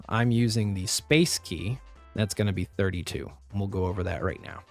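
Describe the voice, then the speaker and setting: A man with a lowish, slightly raspy voice talks through each step of a computer tutorial in a plain, practical way. His throat is still a bit rough from a recent flu. Faint music plays quietly behind his voice-over. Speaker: tutorial host. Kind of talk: screen-recorded software tutorial.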